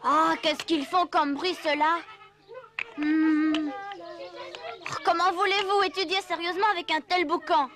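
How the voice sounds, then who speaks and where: A cheeky wooden puppet boy from a cartoon. The voice is extremely high and childlike. He is whiny and bossy, a lazy, stubborn little thing. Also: fairly even intonation, unhurried. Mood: grumbling. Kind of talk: dubbed cartoon acting.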